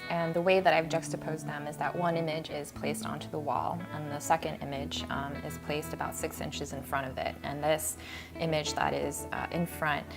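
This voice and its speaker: An American-born woman artist talking thoughtfully about her installation in a gallery, with many ums and pauses. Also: low voice, little pitch movement, creaky, over music. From United States. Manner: hesitant, many ums and uhs, reflective. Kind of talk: artist talk at an exhibition. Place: art gallery.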